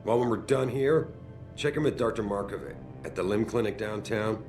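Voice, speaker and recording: A man's voice: lowish voice, normal speed, over music, close to an everyday voice. An actor playing a blunt, demanding male company boss in a video game, speaking in a brusque, direct way. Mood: stern.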